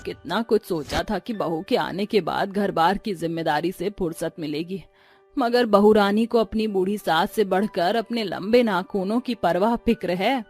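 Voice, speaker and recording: A woman's voice: mid-range voice, normal speed, a little mellow, natural voice. A woman playing an older village mother-in-law in a cartoon story, weary, sarcastic and scolding. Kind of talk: Hindi cartoon story character acting. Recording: music under the voice.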